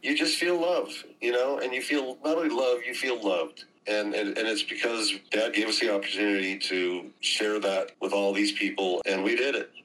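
A man giving spontaneous interview answers, halting and repeating words as he searches for phrasing. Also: fairly low pitch, steady tone, fairly bright tone, slight background noise, poor audio. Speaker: interviewee, theater operator.

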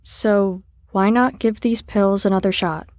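A woman's voice: medium pitch, steady tone, a little slow, darkish tone, dry recording, natural voice. A woman in an audio drama playing an earnest, caring facility staffer who talks in a reassuring, sincere, slightly rambling way.

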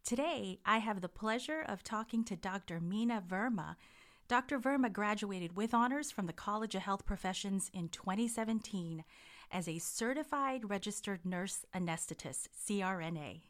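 A woman's voice: mid-range voice, lively intonation, normal speed. A woman hosting a podcast interview, reading a scripted introduction and then asking questions conversationally. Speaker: podcast host.

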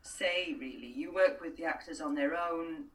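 Welsh-speaking woman voice coach, chatty, lots of ums, lowish voice, echoey room far from the mic. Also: moderate pace, a little hiss or hum. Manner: chatty, hesitant, many restarts and fillers. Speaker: voice and accent coach. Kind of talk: relaxed interview answers. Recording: distant, roomy sound.

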